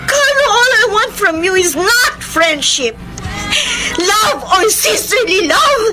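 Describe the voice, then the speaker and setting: High-pitched heartbroken woman, acted by an actress, over music. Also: sing-song, slow, exaggerated voice, rough recording. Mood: tearful, pleading. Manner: emotional, pleading, Taglish code-switching. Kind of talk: melodramatic radio romance drama acting. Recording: loud music under the voice.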